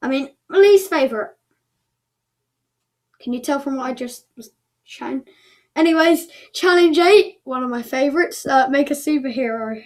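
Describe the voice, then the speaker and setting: A woman's voice: high voice, normal speed, long pauses, a little mellow. A woman casually announcing results as the host of an online show, chatty and thinking aloud as she goes.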